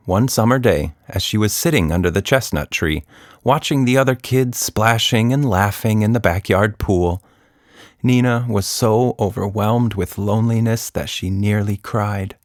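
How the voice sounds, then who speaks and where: A man narrating a scripted children's story as a podcast storyteller, deliberate and expressive. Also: lowish voice, no echo, studio quality.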